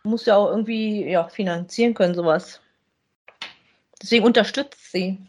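A woman speaks as co-host of a podcast. Her pitch is average for a woman, and her style is casual and conversational. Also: moderate pace, darkish tone.

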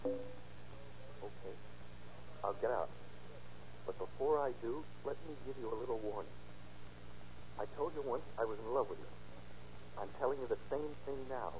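An American actor playing a jealous, menacing, possessive boyfriend in slangy old radio crime drama style. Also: male voice, mid-range voice, moderate pace, pauses often, darkish tone, lightly acted. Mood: low, threatening. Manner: slangy, needling, bullying. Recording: muffled noisy old radio recording.

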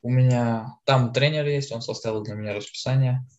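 A man's voice: medium pitch, brisk, a little mellow, muffled recording. Eighteen-year-old guy chatting casually. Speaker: podcast co-host. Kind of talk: casual podcast chat.

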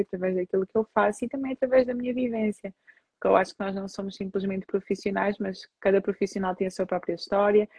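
Husky Portuguese woman, flat steady voice. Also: mid-range voice, dry recording, rough recording. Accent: European Portuguese. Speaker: guest psychologist. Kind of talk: live interview guest.

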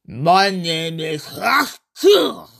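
A man performs a calm, condescending tentacled alien from a horror story. The creature speaks very slowly and deliberately, with a gravelly creak. Each phrase is drawn out as if lecturing a lesser species. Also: high voice, full character voice. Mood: calm, explaining. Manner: slow, deliberate, drawn-out delivery. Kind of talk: horror short story character voice.

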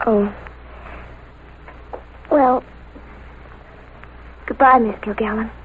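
A woman's voice: a little high, steady tone, very slow, a little hiss or hum. An actress playing a meek, dreamy teenage girl in trouble in an old-time radio drama, polite and pleading. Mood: forlorn. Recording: tinny old radio broadcast.

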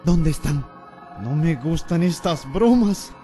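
A man playing an excitable, theatrical young student in a radio drama. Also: on the high side, takes it slowly, faint music behind. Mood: annoyed. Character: excitable, scheming young male student, easily spooked.

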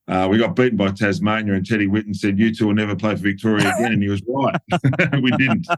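A middle-aged Australian man with a very deep voice recalls his playing days. He talks in a loose, halting way, full of ums and restarts, now and then chuckling at his own stories. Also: steady tone. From New South Wales, Australia. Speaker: former footballer, guest. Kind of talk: relaxed reminiscing interview.

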